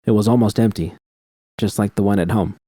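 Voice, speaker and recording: Dude narrating a story in first person, lowish mellow dark voice, super dry recording. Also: male voice, fairly even intonation, fairly quick, no room sound.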